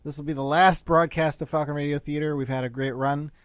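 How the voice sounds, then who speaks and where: Halting male radio host, dry sound. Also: a little high, steady tone, no echo. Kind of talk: informal live introduction.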